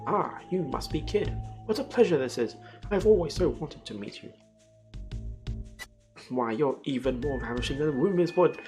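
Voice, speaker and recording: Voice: mid-range voice, lively intonation, normal speed, pauses often, warm tone, faint music behind, close to an everyday voice. A streamer voicing a polite, well-spoken male goblin guard with formal, courteous manners from a text adventure game. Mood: effusive. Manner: formal, ornate, courteous phrasing.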